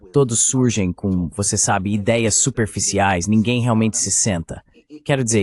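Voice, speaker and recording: Male commentator, lowish voice, opinionated political analysis for a translated voice-over. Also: darkish tone.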